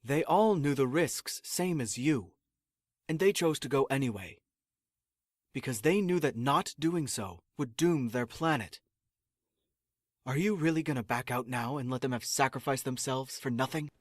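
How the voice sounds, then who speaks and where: A man's voice: medium pitch, lively intonation, no room sound, natural voice. Man playing a stern, dry-sounding mission handler. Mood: stern persuasion. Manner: firm, brisk authority, dry. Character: stern, pragmatic male space mission lecturer and handler. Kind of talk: audio drama acting.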